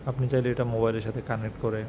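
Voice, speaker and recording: A man's voice: medium pitch, flat delivery, rapid-fire. A man giving an unscripted how-to as an installer, mixing English technical terms into plain instructions. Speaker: installer presenting a how-to video. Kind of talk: unscripted tutorial narration. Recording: loud ambient and vehicle-like noise.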